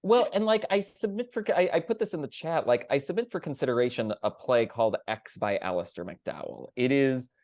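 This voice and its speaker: Earnest man, mid-pitched, dry recording. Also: animated, fairly quick, hardly any pauses, a little mellow, no room sound. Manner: earnest, emphatic. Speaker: theatre panelist. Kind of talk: casual panel discussion.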